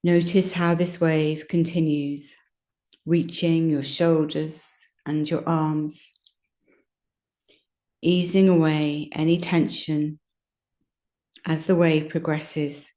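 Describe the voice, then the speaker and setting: A woman teaching yoga nidra, guiding a relaxation meditation in a gentle, soothing, unhurried manner with long pauses. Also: deep voice, little pitch movement. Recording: roomy, echoing sound.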